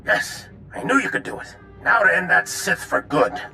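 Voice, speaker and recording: An actor playing a stern, authoritative general in a sci-fi video game, with a gravelly voice. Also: male voice, high-pitched, very animated, moderate pace, bright, forceful tone, soft background music, heavily acted. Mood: resolute. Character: stern, resolute male Republic general.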